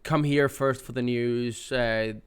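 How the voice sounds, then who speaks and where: A man's voice: medium pitch, steady tone, slow pace, smooth. A man hosting his gaming channel, sounding nasal from a cold, giving a casual, rambling update with many fillers.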